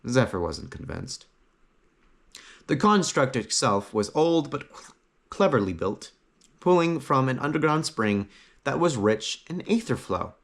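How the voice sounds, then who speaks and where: Man narrating a story with lively, swooping pitch. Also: unhurried, pauses often. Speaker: audiobook narrator.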